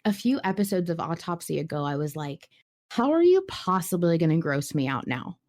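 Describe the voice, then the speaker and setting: A woman's voice: on the low side, very animated, brisk, a little mellow. A woman co-hosting a podcast, chatting casually and expressively with enthusiasm, swearing now and then. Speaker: podcast co-host discussing a horror audio drama. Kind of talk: casual fan discussion.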